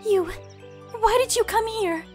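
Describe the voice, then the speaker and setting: A woman playing a gentle, lovesick young female pony with wounded feelings in an audio drama, speaking earnestly and emotionally. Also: very high voice, a little slow, soft background music. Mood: hurt, angry. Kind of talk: fan audio drama acting.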